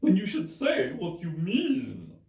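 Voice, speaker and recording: A man voicing a blustering, excitable male March Hare from a storybook, loud and argumentative. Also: sits high, slow pace, creaky, roomy sound, recorded from a distance, voice pushed up, heavily acted.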